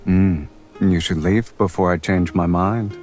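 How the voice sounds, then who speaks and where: An actor playing a proud, teasing and quietly affectionate crime boss in a romance game, speaking unhurriedly over guitar music. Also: male voice, sits low, steady tone, a little slow. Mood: stern, dry.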